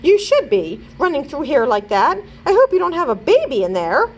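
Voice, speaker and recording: A woman's voice: high voice, big pitch swings, moderate pace, a little hiss or hum, pitched above the performer's own voice. A woman voicing a cross, sarcastic woman shopper who scolds indignantly in a children's storybook. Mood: angry, scolding.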